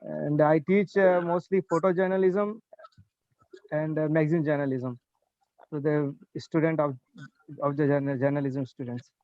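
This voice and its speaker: Indian prof guy, a bit high voice, muffled dry call audio, eager. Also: fairly even intonation, normal speed, long pauses, darkish tone, no room sound. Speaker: assistant professor.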